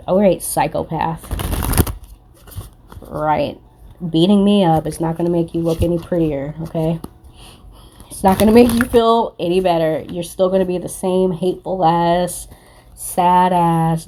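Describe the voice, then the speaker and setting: A woman's voice: lowish voice, a little mellow, a little hiss or hum. A woman giving a casual spiritual card reading in a blunt, confrontational manner, swearing freely. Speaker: tarot card reader and spiritual content creator.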